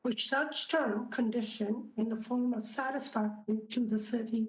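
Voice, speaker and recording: Woman, hoarse from a cough, halting formal reading, fillers, slightly high voice. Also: fairly even intonation, poor audio. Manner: halting reading with many fillers and throat clearing. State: losing her voice from a cough. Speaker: city councillor. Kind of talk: reading a motion at a council meeting.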